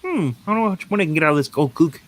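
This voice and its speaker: A woman's voice: sits low, expressive, very fast, a little hiss or hum, pitched below the performer's own voice. A woman voicing a wisecracking puppet who plays an apologetic clerk, with sarcastic muttered asides. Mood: alarmed, sarcastic.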